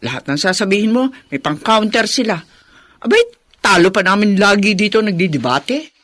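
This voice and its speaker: A kind, patient big sister in a radio drama. Her medium-pitched voice moves with lively intonation as she reassures and gently advises. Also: normal speed. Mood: reassuring. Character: kind, patient older sister-in-law and mother of two. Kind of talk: Filipino radio drama acting.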